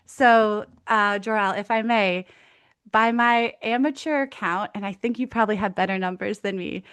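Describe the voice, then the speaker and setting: A woman's voice: mid-range voice, steady tone, moderate pace, fairly bright tone, clean tone, dry recording. A woman hosting an online event, polished and welcoming, with the odd filler. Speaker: event host.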